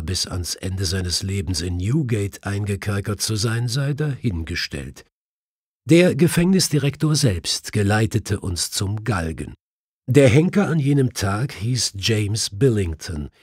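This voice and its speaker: A man with a husky voice narrating a detective story audiobook as scripted reading. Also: fairly low pitch, a little mellow, dry recording, clean studio sound.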